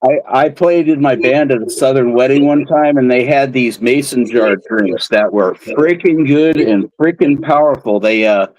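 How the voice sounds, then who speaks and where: A man's voice: mid-range voice, normal speed, few pauses, a little mellow, dry recording, muffled recording. Male host, casual, rambling storyteller, dry room. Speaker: channel host, beer can collector. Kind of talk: casual chat.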